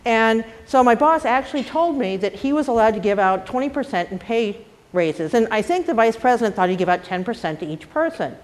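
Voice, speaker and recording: A woman's voice: fairly high pitch, lively intonation, fairly quick. Frank older woman with a Boston accent. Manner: wry, frank, self-deprecating. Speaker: panel speaker. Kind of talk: candid personal talk.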